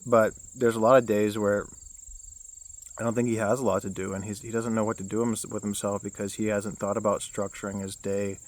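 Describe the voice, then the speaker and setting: Low-voiced young man rambling outdoors. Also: little pitch movement, a little fast. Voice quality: low baritone voice. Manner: rambling, with many restarts and fillers. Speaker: vlogger. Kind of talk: casual self-help vlog.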